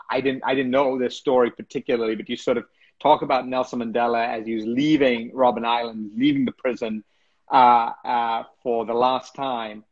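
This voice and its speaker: Middle-aged guy hosting from home, husky voice, muffled lo-fi call audio, lots of restarts. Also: medium pitch, a little mellow. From Sri Lanka. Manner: thoughtful, hesitant, many restarts. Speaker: interview host. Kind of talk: conversational interview. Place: home room with bookshelves, indoors. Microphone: laptop webcam on a video call. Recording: dull lo-fi video call audio.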